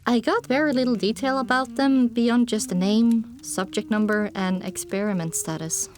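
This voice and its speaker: A woman reading fiction as an audiobook narrator, in an expressive first-person storytelling style. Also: a little high, moderate pace.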